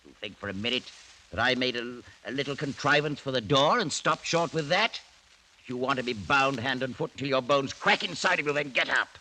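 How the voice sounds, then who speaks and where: A man's voice: mid-range voice, animated, fairly quick, sharp tone. An actor playing a menacing, sly old French nobleman in a theatrical, mock-courteous radio style. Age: older. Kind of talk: 1940s-50s radio acting. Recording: noisy vintage radio drama recording.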